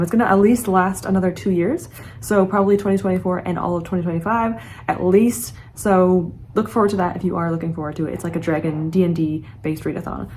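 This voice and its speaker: A woman's voice: medium pitch, a little mellow, a little hiss or hum. A woman in her late twenties, a book vlogger chatting casually and earnestly to her viewers. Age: late 20s. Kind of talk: casual chat to camera.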